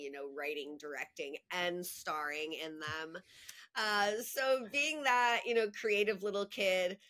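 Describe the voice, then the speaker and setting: Chatty woman guest, slightly low voice. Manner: chatty and animated, laughs easily, many "like" fillers. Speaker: guest, copywriting agency owner. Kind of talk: casual podcast conversation.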